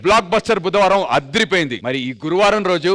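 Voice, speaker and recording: High-pitched energetic show host man. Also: quick pace. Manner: energetic announcing. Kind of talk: TV show hosting.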